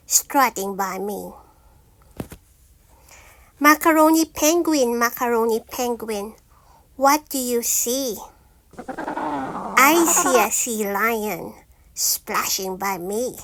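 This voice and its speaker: Female teacher for picture-book read-aloud: high voice, very slow delivery, singsong repeated phrasing. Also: fairly bright tone. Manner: singsong, deliberate repetitive phrasing.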